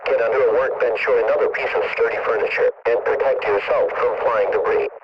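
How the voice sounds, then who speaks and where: A man's voice as an impersonal automated weather radio announcer, reading emergency bulletins in a flat, formal, methodical way. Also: medium pitch, fast talker, natural voice. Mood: impersonal, urgent. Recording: muffled, very noisy distant radio broadcast.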